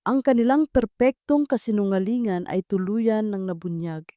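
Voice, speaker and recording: Mellow Filipino woman narrating dramatic stories. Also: medium pitch, moderate pace, no echo. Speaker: story narrator. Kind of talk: dramatic scripted narration of a love story.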